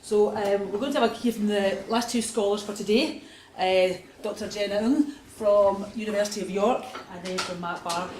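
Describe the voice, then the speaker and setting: Glaswegian session chair, jokey. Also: male voice, high voice, steady tone, normal speed, hardly any pauses, darkish tone. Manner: jokey, informal. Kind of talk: introducing speakers. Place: seminar room. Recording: echoey room, clear background noise.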